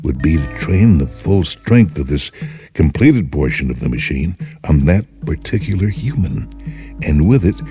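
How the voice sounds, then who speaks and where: Male story narrator, extremely low register, dramatic scripted read over music. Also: animated, measured pace, warm tone. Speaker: narrator reading short fiction for a radio series. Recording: music behind the voice.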